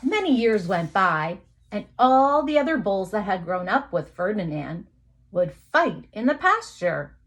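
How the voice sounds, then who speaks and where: Woman, schoolteacher, mid-pitched voice, slow delivery, expressive children's read-aloud, dramatic pauses. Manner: expressive storyteller with dramatic emphasis, pauses and asides to listeners. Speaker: teacher reading a picture book aloud to students.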